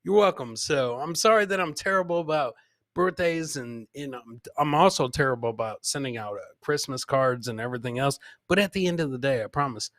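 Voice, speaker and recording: A man's voice: mid-range voice, animated, a little fast, a bit bright, no echo. A man hosting a casual live comedy show, commenting on clips, repeating his phrases and talking to his producer and viewers. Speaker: podcast host.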